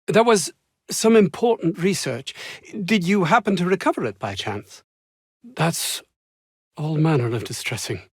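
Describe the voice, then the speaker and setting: Pompous, high-pitched anxious scientist. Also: male voice, husky, dry recording, clean studio sound, full character voice. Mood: anxious, pleading, then regretful, downcast. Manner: haughty, fussy, formal old-fashioned diction. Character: pompous, ambitious, anxious male research scientist. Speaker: video game character voice. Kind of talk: theatrical game dialogue.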